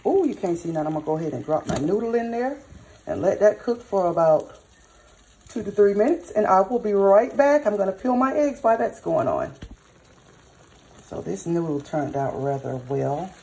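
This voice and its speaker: A hoarse woman chatting through a cooking vlog, addressing viewers as y'all and savoring bites with lots of hums. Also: medium pitch, lively intonation, moderate pace, mellow, low-quality recording. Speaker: cooking vlogger. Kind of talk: casual cooking vlog with tasting. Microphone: phone or camera mic, recording alone in a kitchen.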